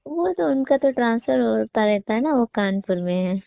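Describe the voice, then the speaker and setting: A woman's voice: a little high, lively intonation, normal speed, creaky, no echo. A young woman, calling in casual conversation as a parent. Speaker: caller, parent seeking a tutor. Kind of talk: casual chat. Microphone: phone call. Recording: dry, slightly tinny phone call recording.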